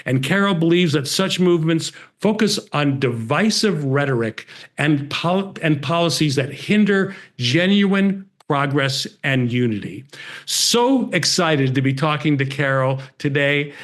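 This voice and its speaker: A man's voice: fairly high pitch, expressive, unhurried. A man hosting a program, reading a scripted introduction of a guest in a formal presentational style. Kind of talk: scripted introduction read aloud.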